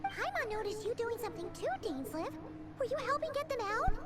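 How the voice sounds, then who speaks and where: An actress voicing a tiny, childlike fairy girl in an anime game, squeaky, inquisitive and excitable. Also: a little fast, over music. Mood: curious. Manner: squeaky, speaks of herself in third person. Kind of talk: cartoonish anime game acting.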